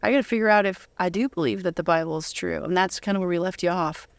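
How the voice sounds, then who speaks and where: A woman's voice: lowish voice, quick pace, creaky, dry recording. A woman hosting a conversational interview, affirming her guest and encouraging them along. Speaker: interview host. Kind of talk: conversational talk show hosting.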